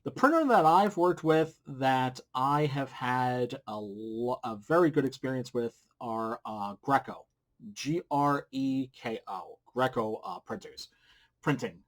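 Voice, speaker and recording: A man's voice: medium pitch, lively intonation, takes it slowly, fairly bright tone. A middle-aged man hosting a casual how-to vlog, talking in a blunt, informal way. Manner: blunt, informal, mildly profane. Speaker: comic book creator and video series host. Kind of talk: casual instructional vlog to camera. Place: small wood-panelled room at home, indoors. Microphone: large studio mic on boom arm with pop filter.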